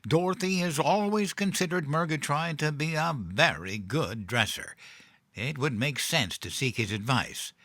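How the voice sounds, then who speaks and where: An actor playing a cheerfully oblivious, pompous President in a comedic audio drama, musing in a self-satisfied, puzzled way. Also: male voice, a little high, measured pace, bright, forceful tone, no room sound. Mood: smug, fond.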